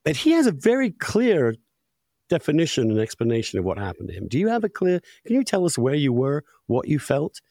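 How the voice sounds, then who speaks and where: A man hosting an interview, putting long, discursive questions in a deliberate, thoughtful way. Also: on the high side, wildly swooping pitch, dark tone, no room sound, studio quality. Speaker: podcast host, interviewer.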